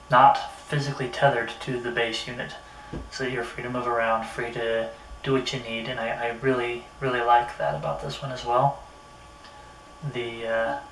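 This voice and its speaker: A man's voice: mid-range voice, a bit bright. Echoey, distant man giving a casual review. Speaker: product reviewer. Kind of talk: casual hands-on product review. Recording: roomy echo, distant mic, faint noise.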